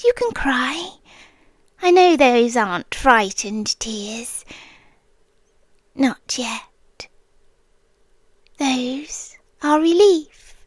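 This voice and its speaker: A British woman voicing a possessive, devoted guardian angel in a soft, breathy, close-mic ASMR roleplay that often drops into a whisper. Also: sits high, no room sound, full character voice. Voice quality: breathy, intimate, drifting into whispers. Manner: slow, tender, quietly obsessive. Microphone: close mic, dry sound.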